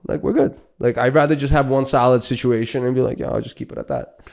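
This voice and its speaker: Mellow-voiced New man, blunt and slangy. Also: medium pitch, quick pace, gravelly. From Ukraine, moved to Brooklyn as a child. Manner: blunt, profane, argumentative. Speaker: podcast host. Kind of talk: casual, animated podcast banter with slang. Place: indoor studio. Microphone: close boom-arm studio microphone.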